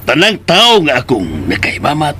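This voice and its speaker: A man's voice: fairly high pitch, huge pitch swings, moderate pace. A man acting a genial, well-off middle-aged father and employer in a Filipino radio drama, with a Cebuano accent. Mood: amused.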